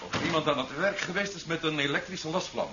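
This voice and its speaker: A man's voice: on the high side, a little fast, natural voice. An actor playing an urgent, suspicious man warning of alien invaders in a 1970s science fiction radio drama, dramatic and emphatic. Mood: grim, insistent. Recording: dull, faintly noisy 1970s radio recording.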